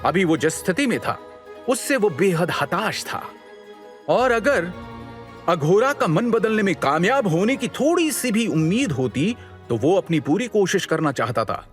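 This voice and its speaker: A man's voice: high-pitched, big pitch swings, measured pace. A man giving scripted dramatic narration as the storyteller of an audio series. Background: background music.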